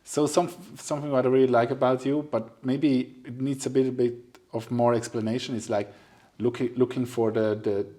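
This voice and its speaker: A man's voice: mid-range voice, level tone, moderate pace, dark tone. Hesitant man in his forties hosting a podcast. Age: 40s. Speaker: podcast host. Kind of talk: casual interview conversation.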